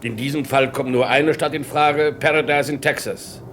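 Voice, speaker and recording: Behind the voice is clearly audible background noise. A male actor plays a courteous male airport director in a radio drama, formal and obliging, at an average pitch. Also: fairly even intonation, moderate pace, a bit bright, close to an everyday voice. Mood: matter-of-fact. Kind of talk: German radio crime drama acting.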